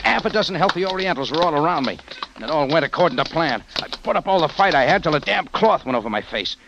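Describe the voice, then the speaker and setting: An actor playing a tough, wry hardboiled adventurer who narrates and talks in an old-time radio drama. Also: male voice, fairly high pitch, animated, fast, background noise. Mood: wry, tense narration. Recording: dull vintage radio recording with music.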